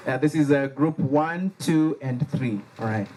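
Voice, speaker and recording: A man with a Liberian accent leading a lively workshop, joking and getting the audience to repeat key words after him. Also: mid-range voice, a little slow, a little hiss or hum, rough recording. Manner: animated, playful, has the audience repeat words. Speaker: workshop facilitator. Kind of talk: interactive call-and-response workshop. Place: workshop room with a live audience.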